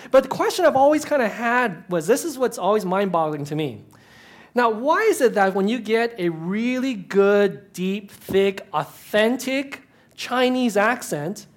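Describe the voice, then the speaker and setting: A man in ministry giving a conversational talk to an audience, chatty and self-deprecating, with asides and many restarts. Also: sits high.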